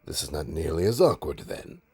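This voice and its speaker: A man playing a suave, smug supervillain in an audio roleplay, teasing his nemesis with a pompous, mock-polite drawl and dramatic pauses. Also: extremely low pitch, big pitch swings, warm tone, exaggerated voice. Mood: taunting, amused. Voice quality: gravelly, husky. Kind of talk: audio roleplay monologue addressed to listener.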